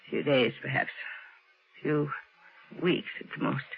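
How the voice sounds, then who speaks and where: An actress playing a genteel, bedridden, dying woman in a 1950s radio drama, speaking weakly and haltingly. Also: deep voice, fairly even intonation, gravelly, husky, full character voice. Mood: weary, resigned. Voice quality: weak, faint, effortful. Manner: halting, pausing between short phrases. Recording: tinny, noisy 1950s radio recording.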